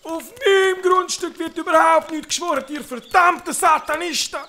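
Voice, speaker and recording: Shrill ranting old alpine grandpa. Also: normal speed, pushed and bright, full character voice. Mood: outraged. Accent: Swiss German. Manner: ranting, cursing. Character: furious foul-mouthed old alpine grandfather guarding his land. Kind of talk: comic audio drama acting.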